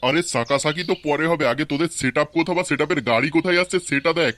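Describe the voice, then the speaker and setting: A man's voice: on the high side, rapid-fire, a bit bright, lightly acted. A man with a West Bengali accent voicing a nagging, mocking older village uncle in an amateur comic cartoon, sarcastic and needling.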